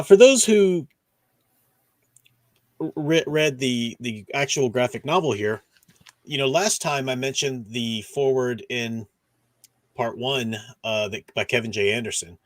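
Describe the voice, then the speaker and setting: A man's voice: mid-range voice, animated, a little slow, pauses often, no echo. A man hosting a casual discussion stream, rambling, restarting sentences and groping for names as he talks. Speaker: podcast host.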